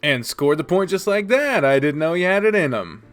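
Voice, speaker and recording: A man reading a visual novel game aloud, casual, jumping to high squeaks when surprised. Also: expressive, normal speed, soft background music. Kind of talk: reading game text aloud with jokes aside.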